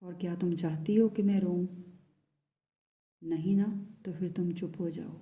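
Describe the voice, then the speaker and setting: A woman speaking in first person as a lovesick man who pleads tenderly with his beloved, in a soft, hushed voice that often drops to a whisper. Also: lowish voice, fairly even intonation, unhurried, lightly acted. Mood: tender, pleading. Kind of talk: channelled love message in first person.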